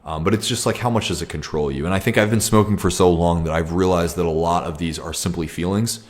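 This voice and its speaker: A young man hosting a casual livestream Q&A, talking reflectively and haltingly with many ums and restarts. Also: deep voice. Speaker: livestream host. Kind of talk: casual livestream Q&A, reading comments.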